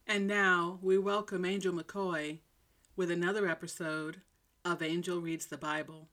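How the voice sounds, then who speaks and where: A woman's voice: lowish voice, steady tone, unhurried, fairly bright tone. A woman hosting a faith podcast, giving scripted motivational Bible teaching in an emphatic way with rhetorical questions. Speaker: podcast host.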